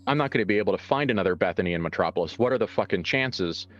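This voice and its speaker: A man improvising tabletop horror narration as game master in a conversational, halting way, reworking his phrases as he goes. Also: on the low side, lively intonation, a bit bright. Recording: muffled lo-fi sound, music behind. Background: background music.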